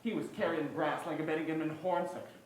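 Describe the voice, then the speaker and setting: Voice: medium pitch, steady tone, brisk, lightly acted. A student actor playing a terse, wry, hard-boiled male private detective in a noir stage play. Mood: matter-of-fact. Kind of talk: 1940s noir stage acting. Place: school one-act play on a stage. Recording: roomy stage echo, faint background noise.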